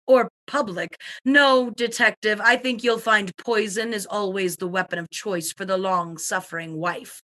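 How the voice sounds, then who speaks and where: A woman's voice: medium pitch, steady tone, a little slow, hardly any pauses, sharp tone. A woman playing a breezy, haughty society widow with an airy, dismissive manner in a comic radio murder mystery. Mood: haughty, cool. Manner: airy, grandly dismissive, punning.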